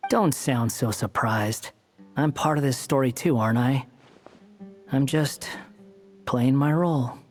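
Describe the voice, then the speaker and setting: An actor playing an aloof, sardonic young man in a video game, with a cool, smug, deliberate manner. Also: medium pitch, a little mellow, soft background music, natural voice. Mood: cool, teasing.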